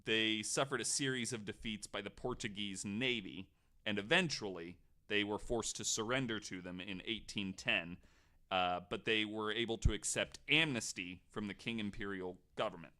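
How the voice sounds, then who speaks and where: A man's voice: lowish voice, moderate pace, bright, forceful tone. A man hosting a podcast, telling a researched story in a casual, jokey, informal way. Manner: informal, swears, jokey asides.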